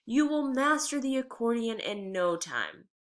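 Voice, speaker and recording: A woman's voice: a little high, big pitch swings, measured pace, pitched above the performer's own voice. A woman voicing a confident, encouraging father in a storybook read-aloud, insistent and upbeat. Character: confident, breezy, encouraging father of a young girl.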